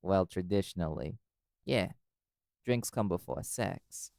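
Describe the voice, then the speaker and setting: A man's voice: low-pitched, takes it slowly, gravelly, darkish tone, no echo, clean studio sound, full character voice. A man playing a cool, wry, flirty magical private eye in an intimate audio roleplay, teasing dryly and swearing casually.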